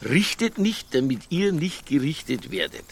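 An actor playing a stubborn, provocative Bavarian police detective in a radio crime drama, earthy and argumentative. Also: male voice, fairly high pitch, very animated, normal speed, gravelly, husky, full character voice. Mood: earnest, probing. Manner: earthy, argumentative, quoting scripture.

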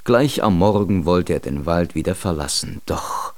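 A man's voice: deep voice, animated, unhurried, darkish tone. A man narrating a children's radio play in a scripted storytelling style.

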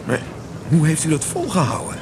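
A man's voice: fairly high pitch, wildly swooping pitch, moderate pace, vocal fry, noisy background, exaggerated voice. An actor playing an armed, defiant young man in a radio play, polite but menacing as he probes. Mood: probing, defiant.

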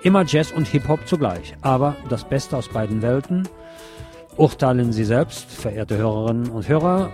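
Guy doing radio music intros, mid-pitched voice, chatty and wry, bit of music under it. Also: lively intonation, normal speed, dark tone. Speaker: radio host presenting new music. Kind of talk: conversational radio music presentation.

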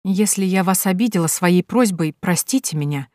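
A woman voicing a tearful young female impostor who pleads in a high, sobbing voice. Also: a little fast, darkish tone, no room sound, lightly acted. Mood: fawning. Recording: dry close recording.

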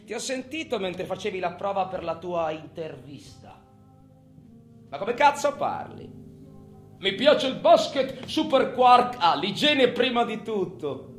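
Taunting criminal, highly animated. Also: male voice, a little slow. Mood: mocking. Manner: sneering, foul-mouthed, volatile. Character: taunting, possessive male criminal companion, mocking and menacing. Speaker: stage actor. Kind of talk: theatrical monologue. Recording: echoey room, music under the voice.

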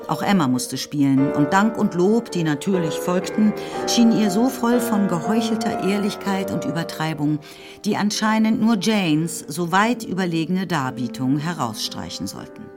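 A woman narrating a literary radio drama in a calm, even, precise way. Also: lowish voice. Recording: music under the narration.

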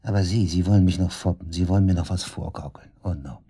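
Low, husky, sneering murder suspect. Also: male voice, fast, mellow. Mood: agitated, indignant. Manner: sarcastic, crude, defiant, slangy. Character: brash, sneering male murder suspect under police questioning. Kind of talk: naturalistic German radio drama acting.

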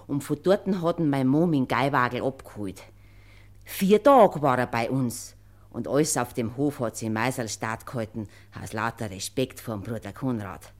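A woman's voice: extremely low pitch, animated, moderate pace, darkish tone. An actress playing a devout farm woman with a Bavarian accent, respectfully recalling the past as testimony in a radio play. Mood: reverent, recollecting.

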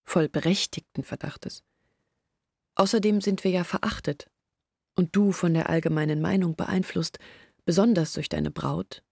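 Husky, earnest young village woman. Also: on the low side, normal speed, darkish tone, no room sound, clean studio sound. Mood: anxious, reasoning. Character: earnest anxious young village woman, pleading her family's case. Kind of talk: literary radio play monologue.

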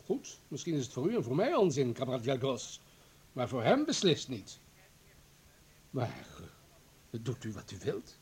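An actor playing an anxious, insistent party official in a radio play, arguing formally and emphatically. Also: male voice, mid-range voice, huge pitch swings, normal speed, long pauses, darkish tone. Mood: agitated, exasperated. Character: anxious, insistent party comrade, hard and self-justifying official.

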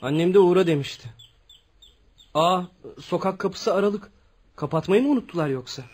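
An actor in a radio play playing a dutiful, excitable young student son who speaks earnestly. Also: male voice, high-pitched, moderate pace, slightly rough. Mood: puzzled, calling out. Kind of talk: Turkish radio theatre acting.

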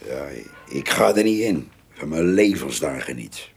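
An actor playing a blunt, folksy old countryman in a radio play, with a gravelly, husky voice and halting phrases. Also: a bass voice, lively intonation, full character voice. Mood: gruff, sympathetic. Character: gruff old countryman, poacher, loyal drinking companion.

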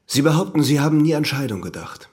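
An actor playing a formal, accusing official in a radio drama, pressing the accused with pointed questions. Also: male voice, on the high side, animated, fast, darkish tone, lightly acted. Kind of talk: German radio drama acting.

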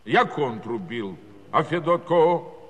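An actor playing a folksy, self-important Cossack village judge who speechifies in garbled rustic officialese with a southern Russian Don dialect. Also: male voice, on the high side, animated, unhurried, over a noisy crowd. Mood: lecturing. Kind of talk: theatrical musical comedy monologue. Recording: reverberant 1970s studio recording over music.

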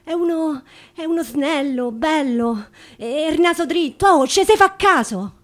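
A woman's voice: high voice, animated, a little slow. An actress playing an anguished working-class woman in wartime, with a Roman accent and an earthy, theatrical delivery. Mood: anxious, pleading. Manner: earthy, emotive dialect delivery. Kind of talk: theatrical dramatic monologue and dialogue.

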